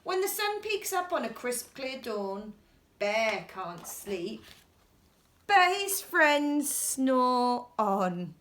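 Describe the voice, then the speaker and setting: Slow, high-pitched, animated woman storyteller. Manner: animated, addressing young children directly. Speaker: children's storyteller. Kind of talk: expressive read-aloud bedtime story.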